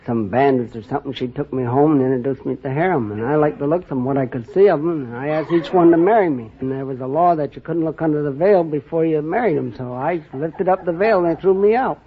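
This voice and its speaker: A man's voice: fairly high pitch, fairly quick, slight background noise. An American man, a contestant on a radio show, telling yarns in a casual, folksy, rambling way. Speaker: quiz show contestant, former sailor. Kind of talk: casual storytelling. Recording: muffled old-time radio with audience. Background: studio audience.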